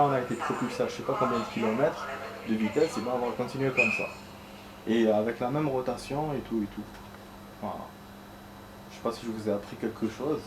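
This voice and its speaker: A man giving casual, rambling gameplay commentary, swearing now and then and talking to his viewers as friends. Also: mid-range voice. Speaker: gameplay streamer. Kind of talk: casual let's-play commentary. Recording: echoey room with clear background noise.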